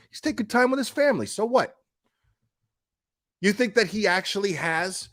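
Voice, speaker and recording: A man's voice: high voice, fairly bright tone. A man giving casual, opinionated commentary as a streamer, speaking in a blunt, emphatic, foul-mouthed manner.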